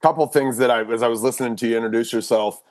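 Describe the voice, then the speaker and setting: A man's voice: mid-range voice, rapid-fire, a little mellow. Rambling male podcast host. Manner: loose, rambling with many restarts. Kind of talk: casual podcast chat.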